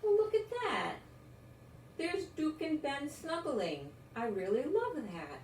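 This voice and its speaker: An older woman reading a children's story aloud in a gentle, expressive, encouraging way. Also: sits high, slow pace. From United States. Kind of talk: read-aloud children's story with chatty asides. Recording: roomy echo, distant mic, faint noise.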